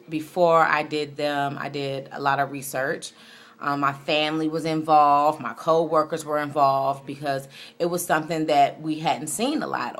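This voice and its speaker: A woman's voice: low-pitched, a bit bright. A woman in her late thirties talking candidly and reflectively in a casual, rambling personal vlog. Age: late 30s. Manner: candid, conversational, self-reflective. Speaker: video blogger.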